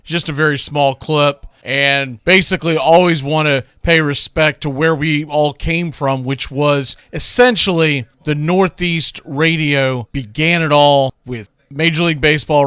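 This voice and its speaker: A man's voice: a little high, bright, forceful tone, clean tone, no room sound. A man hosting a sports radio show, talking casually and conversationally in a folksy way. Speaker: radio show host and play-by-play announcer.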